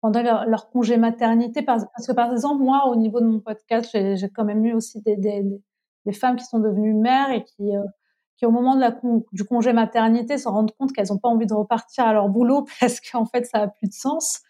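A woman's voice: a little high, steady tone, fairly quick, warm tone. A woman hosting a podcast, asking her guest questions in a casual way and stumbling a little as she frames them. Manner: hesitant, searching for words while framing questions. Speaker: podcast host.

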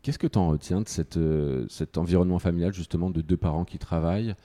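A man hosting a podcast, reading a smooth scripted intro and then asking questions with hesitant fillers. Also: sits low, a little fast, warm tone, no echo. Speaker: podcast host.

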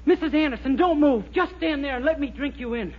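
A man's voice: extremely high pitch, fairly quick. An actor playing a naive, eager and tactless young American insurance salesman in a 1940s radio sitcom, guileless and blundering in his talk. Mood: gushing flattery. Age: young adult. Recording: noisy, dull old 1940s radio recording.

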